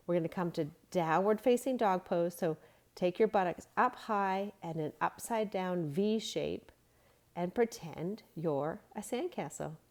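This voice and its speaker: A woman's voice: on the low side, gravelly, a little mellow. A woman narrating a read-along storybook and giving yoga instruction in simple phrasing for young children.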